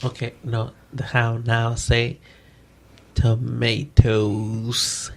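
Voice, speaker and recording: Slow, dry man teasing over room noise. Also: medium pitch, level tone, vocal fry. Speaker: podcast co-host. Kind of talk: casual joking chat among friends.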